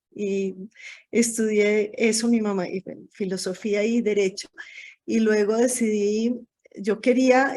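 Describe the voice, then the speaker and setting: A woman's voice: medium pitch, warm tone. A woman in her forties with a Colombian accent, talking casually about herself as an interview guest, often rambling and correcting herself. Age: 40s. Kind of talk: casual autobiographical talk. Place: online video call.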